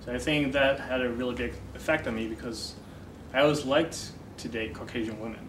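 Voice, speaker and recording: A young man who grew up in the Netherlands, a dating coach, explaining ideas in a relaxed interview with many fillers. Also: medium pitch, a little fast. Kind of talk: casual interview talk. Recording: echoey room from far off, noisy.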